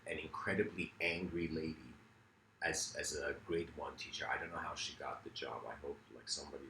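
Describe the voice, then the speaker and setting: A man's voice: rumbling low, fairly even intonation, a little fast, bright, forceful tone, echoey room, slight background noise, poor audio. A man from Iran whose first language is Farsi, giving a reflective philosophical talk in a rambling, self-correcting way with many fillers. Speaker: speaker.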